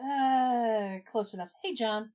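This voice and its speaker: A woman's voice: fairly high pitch, slow pace, highs cut off, crowd noise. A woman playing a sensible, exasperated gnome who questions and organizes everyone in an amateur comedic fantasy audio drama. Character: exasperated but sensible female gnome taking charge.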